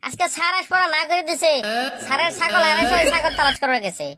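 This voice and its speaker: Woman voicing a high-pitched cheeky cartoon schoolboy. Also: quick pace, bright, forceful tone, a little hiss or hum. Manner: whining, cheeky back talk. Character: cheeky, lazy schoolboy shirking his studies. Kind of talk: comedy cartoon dialogue. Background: music.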